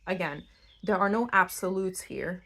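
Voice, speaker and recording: A woman's voice: lowish voice, moderate pace, gravelly, a bit bright. Casual, straight-talking woman. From Lithuania. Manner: blunt, conversational, addresses the listener directly. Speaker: tarot reader hosting her own channel. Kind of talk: unscripted tarot reading to camera, casual. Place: indoors at home in a new-build house.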